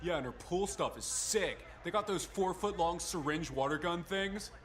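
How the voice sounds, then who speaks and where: A man's voice: high-pitched, moderate pace, a bit bright, soft background music. A man voicing a crude, boastful teenage jock in a visual novel game, brash and slangy.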